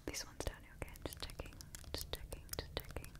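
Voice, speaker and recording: A woman in her twenties whispering an ASMR roleplay close to the microphone, breathy and repeating her phrases. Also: brisk. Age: 20s. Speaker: ASMR performer playing a monster party door checker. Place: small indoor setup before a brick backdrop. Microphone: close microphone, face near camera.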